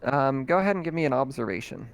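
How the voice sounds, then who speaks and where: Casting: man, mid-pitched, easygoing game master narrating a tabletop game. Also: fast talker, gravelly, clean tone. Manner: relaxed, filler-heavy description. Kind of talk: running a tabletop roleplaying game.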